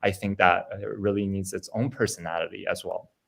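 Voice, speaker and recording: A man's voice: deep voice, fairly even intonation, fairly quick, gravelly, noisy background. A man presenting his game project on a video call, talking loosely with many ums and restarts. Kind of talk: casual online presentation.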